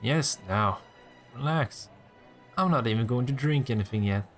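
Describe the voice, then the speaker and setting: Man acting a sly, creaky-voiced teasing vampire. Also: mid-range voice, animated, moderate pace, faint music behind, close to an everyday voice. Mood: sly, conspiratorial. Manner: sardonic, taunting, playful. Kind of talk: roleplay audio drama, intimate one-sided dialogue.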